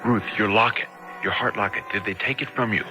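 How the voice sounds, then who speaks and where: A man's voice: on the low side, steady tone, a little fast, noisy background, natural voice. A man playing a desperate American whose girlfriend is jailed, speaking in clipped, insistent phrases in a radio drama. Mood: hushed, insistent. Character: urgent, desperate American boyfriend of a jailed woman. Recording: 1970s radio drama recording.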